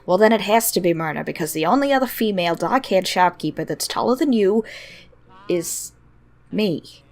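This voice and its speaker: A woman voicing a confident, teasing female shopkeeper, blunt and dry with a soft heart, in a story reading. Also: medium pitch, animated, normal speed, close to an everyday voice. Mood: wry, puzzled.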